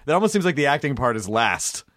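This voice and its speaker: A man's voice: medium pitch, very animated, fast talker, a bit bright, dry recording, studio quality. A man hosting a casual interview, joking and rambling as he swears freely. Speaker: interviewer host.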